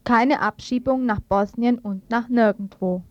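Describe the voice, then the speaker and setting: A woman's voice: a little high, measured pace, darkish tone, no echo, muffled recording. A woman radio presenter reading news reports from a script in a studio.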